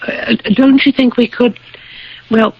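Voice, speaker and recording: An actress playing an earnest young woman in a radio drama, gently persistent and hesitant, with a husky voice. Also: fairly high pitch, fairly even intonation, takes it slowly, heavily acted. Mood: gentle, probing. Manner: hesitant, earnest, pleading, with stammered starts. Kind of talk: 1980s radio drama acting. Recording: dull old radio broadcast recording.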